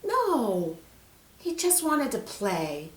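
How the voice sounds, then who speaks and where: A woman's voice: a little high, huge pitch swings, drawn-out words, pitched above the performer's own voice, exaggerated voice. Woman as a bossy young storybook girl, echoey. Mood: reassuring. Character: bossy young girl adventurer, brisk and confident. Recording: roomy, echoing room.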